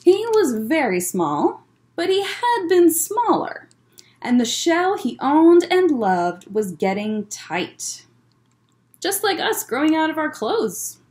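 High-pitched, lively young woman for children. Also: takes it slowly. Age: young adult. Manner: simple, explanatory, addressing young children. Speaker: children's librarian hosting story time. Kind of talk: children's story time, reading aloud and chatting. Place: library room with bookshelves, indoors. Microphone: webcam or laptop at desk distance.

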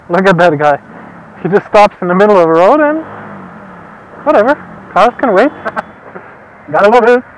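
A young man with a fairly high voice narrates his ride from a helmet mic, relaxed and amused, dropping swear words as he goes. Faint engine and road noise sit under his voice. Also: rough recording. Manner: laid-back, laughing, swears casually. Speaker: motovlogger. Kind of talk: casual helmet-cam commentary. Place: on a motorcycle outdoors on the road. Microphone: helmet-mounted mic.

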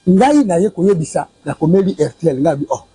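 A man's voice: on the high side, lively intonation, moderate pace, dark tone, breathy edge, no room sound. A Congolese man in his forties, interviewed outdoors on a lapel mic, insistently recounting a family money dispute and repeating dates and sums. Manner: insistent, repeats dates and figures, waves documents. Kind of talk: street interview, recounting a complaint. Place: outdoor courtyard by a building.

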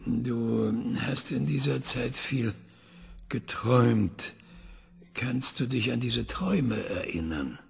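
A proud, obsessive older scientist, played by a man in a radio play, questioning his machine in a calm, measured way. His voice is very raspy and gravelly, slow, with a long hall reverb trailing behind it. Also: medium pitch, exaggerated voice. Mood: probing.

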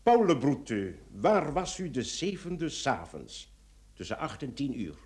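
An actor playing a brisk, authoritative police commissioner in a radio play, with clipped questioning. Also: male voice, mid-range voice, wildly swooping pitch, darkish tone. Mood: stern.

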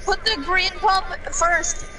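A woman with a high voice calls out orders to her teammate during an online match, bossy and to the point. A faint hiss runs under her voice. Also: steady tone, sharp tone, far from the mic. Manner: bossy, giving quick tactical orders. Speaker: gaming teammate. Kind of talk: casual gaming chat.

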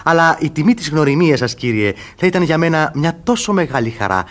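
An actor playing an ardent young gallant in a classical radio comedy, speaking in an effusive, courtly, theatrical way. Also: male voice, fairly high pitch, very animated.

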